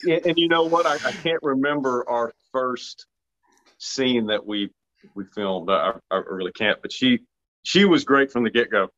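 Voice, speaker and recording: A man's voice: mid-range voice, normal speed, fairly bright tone, a little hiss or hum. A man from Arkansas, an actor being interviewed, talking casually with frequent restarts and self-deprecating remarks. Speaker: actor guest. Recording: dull lo-fi sound.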